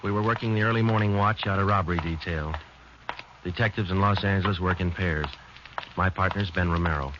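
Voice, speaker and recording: An actor playing a matter-of-fact police detective sergeant in a 1940s radio drama, speaking in a clipped, deadpan way. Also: male voice, deep voice, fairly quick, background noise, close to an everyday voice. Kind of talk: 1940s radio acting, first-person narration. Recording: muffled old radio recording.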